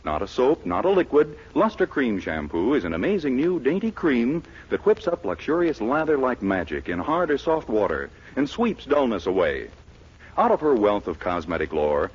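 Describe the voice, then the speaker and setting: A man's voice: mid-range voice, slightly rough. A man announcing in the polished, emphatic style of a 1940s radio host reading scripted copy. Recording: muffled, noisy 1940s radio broadcast.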